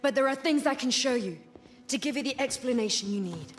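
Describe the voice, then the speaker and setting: An actress playing a proud, resolute rebel queen with an Indian accent, speaking formally and gravely in a video game. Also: sits high, expressive, soft background music. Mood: urgent, resolute. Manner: formal, measured, imperious. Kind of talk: dramatic video game cutscene acting.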